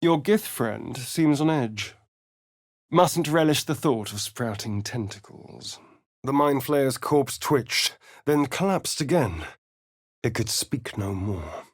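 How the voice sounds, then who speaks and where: A man's voice: mid-range voice, animated, slow pace, no echo, clean studio sound. An actor playing a sly, vain, sardonic male vampire rogue in a video game, with an arch, drawling, theatrical delivery. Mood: reflective. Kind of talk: theatrical video game character lines and narration.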